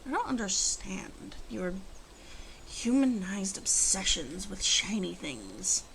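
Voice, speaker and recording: A woman playing a proud, wry female werewolf of the forest in an ASMR roleplay, teasing and faintly disdainful, speaking deliberately to the listener. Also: medium pitch, big pitch swings, vocal fry, background noise, full character voice. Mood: puzzled, disdainful.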